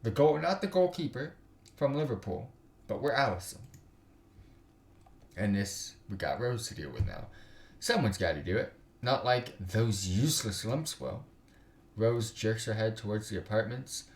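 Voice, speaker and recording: A man's voice: mid-range voice, very animated, unhurried, echoey room. A young man live streaming a game, reading story text aloud with some stumbles and slangy, joking asides. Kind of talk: casual livestream, reading visual novel text aloud with side comments. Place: indoors at a computer.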